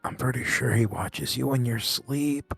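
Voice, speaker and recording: A man's voice: medium pitch, steady tone, a little slow, gravelly, husky. A man voicing a shifty, evasive, teasing goat owner in an improvised comedic fan dub of a fantasy game, with stammering, repetitive delivery. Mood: sly, hesitant. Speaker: amateur live fan-dub voice actor. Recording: background music under the voice.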